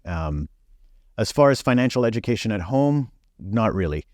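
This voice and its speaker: A man's voice: on the low side, very animated, normal speed, a little mellow, no echo, studio quality. A man in his forties, born in Belgium, speaking frankly as a podcast guest with many fillers. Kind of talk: casual interview chat.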